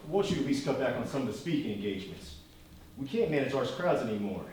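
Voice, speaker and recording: A man's voice: mid-range voice, big pitch swings, quick pace, a little mellow, lightly acted. A man acting in a stage play as a loyal, worried, hard-headed bodyguard and aide, urgent and pleading in his delivery. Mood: worried, serious. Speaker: stage actor. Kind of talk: live one-act stage play acting. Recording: very echoey stage, distant mic, faint noise.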